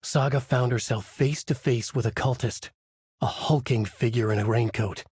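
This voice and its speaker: Husky, brooding novelist narrator. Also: male voice, mid-range voice, a little mellow, no room sound, studio quality. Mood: ominous. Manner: measured, grave, dramatic delivery. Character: brooding, haunted male novelist narrating his dark thriller. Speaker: voice actor. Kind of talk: scripted noir horror narration.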